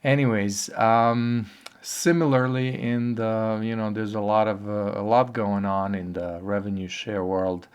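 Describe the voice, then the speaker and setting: A hoarse man giving a casual, rambling video update, with many pauses and fillers. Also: lowish voice, level tone. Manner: halting, rambling, filler-heavy. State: hoarse from a cold. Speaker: online earnings vlogger. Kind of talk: casual talking-head update.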